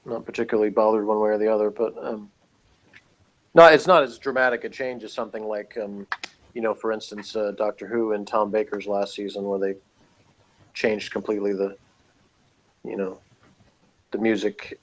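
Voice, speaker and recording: A man's voice: on the low side, steady tone, moderate pace, long pauses, low-quality recording. A man co-hosting a casual review chat, talking in a rambling, matter-of-fact way. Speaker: podcast co-host reviewing a TV show.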